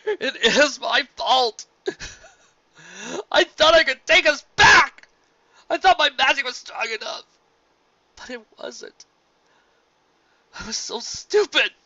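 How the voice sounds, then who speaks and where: Anguished stallion, sobbing, very high-strained. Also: male voice, animated, takes it slowly, pauses often, pushed and bright, no echo, exaggerated voice. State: crying. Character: anguished, guilt-ridden young male pony, desperate and broken. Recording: dull lo-fi home recording.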